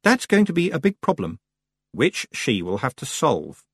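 A man's voice: medium pitch, huge pitch swings, moderate pace, husky, no room sound, clean studio sound, voice pushed up, exaggerated voice. A man voicing a stern, blunt old country witch with firm, rustic speech in an audiobook. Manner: plain rustic grammar, firm.

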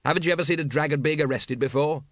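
A man's voice: fairly high pitch, racing, a bit bright, no echo, studio quality, natural voice. A man voicing an exasperated, sardonic watch captain in an audiobook, with an impatient manner. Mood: dry impatience.

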